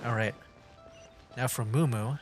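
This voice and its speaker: A man's voice: medium pitch, steady tone, unhurried, faint music behind. A man hosting a game livestream with casual commentary, musing aloud in a rambling way. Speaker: livestream gamer host.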